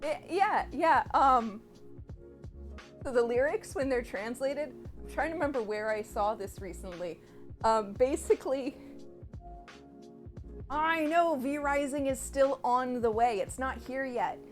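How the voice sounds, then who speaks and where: A playful, chatty woman rambles cheerfully while she cooks in her home kitchen. Her voice sits a touch high, and faint music plays in the background. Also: a little slow, pauses often. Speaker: co-host of a cooking stream. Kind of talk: casual chat while cooking.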